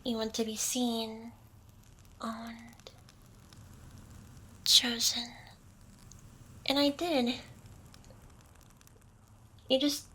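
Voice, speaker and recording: A woman's voice: a little high, fairly even intonation, drawn-out words, long pauses, pushed and bright, husky, full character voice. A woman playing an ancient, smug, seductive demon boss in an ASMR roleplay, with an intimate, teasing delivery.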